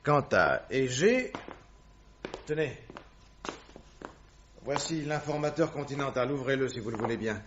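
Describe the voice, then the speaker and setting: An actor playing a self-assured, courteous detective in a French radio drama, explaining and commanding firmly on a dull old recording. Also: male voice, medium pitch, lively intonation, measured pace, long pauses, slight background noise, close to an everyday voice. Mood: didactic, assured. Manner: assured, didactic, courteous but firm.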